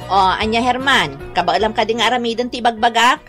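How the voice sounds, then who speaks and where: A woman's voice: on the high side, a little fast, soft background music, lightly acted. An actress with an Ilocano accent playing a haughty, quarrelsome, well-off woman who scolds and bosses people in a radio drama. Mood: bossy. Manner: domineering, scolding, sharp.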